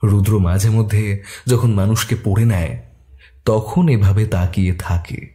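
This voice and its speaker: A man narrating a detective story in a steady, expressive way. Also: lowish voice, a little slow.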